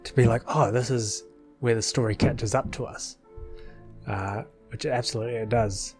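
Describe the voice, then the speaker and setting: A man's voice: medium pitch, steady tone, moderate pace. Casual man rambling, music underneath. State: mouth partly numb after dental work. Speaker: video creator. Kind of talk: casual rambling commentary over a film edit. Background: background music.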